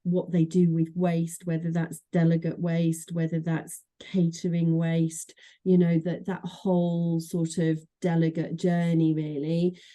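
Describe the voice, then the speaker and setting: A woman's voice: fairly low pitch, completely flat delivery, a little slow, dark tone. A middle-aged woman from Manchester with a Mancunian accent, a guest in a podcast interview, talking chattily with many fillers and restarts. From Manchester, England. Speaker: association executive director, guest.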